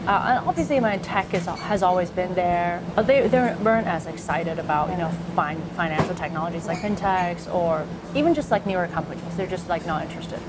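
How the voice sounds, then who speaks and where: Lively woman chatting over loud noise. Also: lowish voice. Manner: animated, frequent fillers like "like" and "you know". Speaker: startup co-founder and COO. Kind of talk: conversational interview chat.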